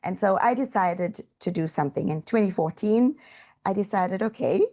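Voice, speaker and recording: A woman's voice: medium pitch, moderate pace, warm tone. A woman from South Africa, a guest on a podcast, earnestly telling her story in an interview. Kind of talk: interview answers.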